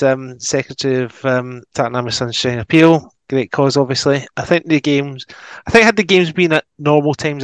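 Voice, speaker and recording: A man's voice: medium pitch, no room sound. A man hosting a casual football podcast, reading out listener messages with lots of ums. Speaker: podcast host.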